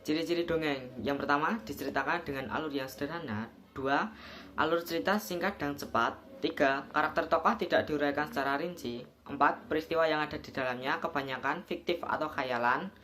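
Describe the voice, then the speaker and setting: A man's voice: fairly high pitch, fairly quick, bright, forceful tone. A young man, a student presenter, reading out scripted points as a numbered list. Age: young adult.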